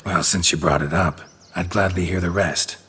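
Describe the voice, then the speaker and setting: A stoic monster hunter in a fantasy game. His deep voice is gruff and gravelly, giving terse, deadpan lines over faint background noise. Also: normal speed. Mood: mildly curious. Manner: terse, deadpan, clipped sentences. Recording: faint environmental noise behind.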